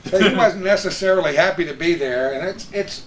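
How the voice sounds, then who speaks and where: A man's voice: high voice, a little fast, a bit bright. An older American man hosting a chat and telling anecdotes about his radio days with old colleagues. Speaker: host, veteran radio broadcaster. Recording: roomy echo with some background noise.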